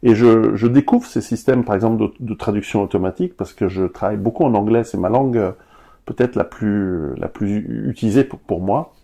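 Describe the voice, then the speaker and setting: Guy musing as a guest, deep-ish and gravelly. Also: a little fast, dark tone. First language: Italian. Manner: reflective, thinking aloud. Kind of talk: conversational interview.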